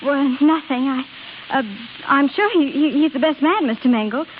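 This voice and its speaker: An actress playing a polite, flustered young secretary in 1930s radio style, speaking hesitantly. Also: female voice, sits high, moderate pace, lightly acted. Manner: hesitant, stammering. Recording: tinny, hissy 1930s radio recording.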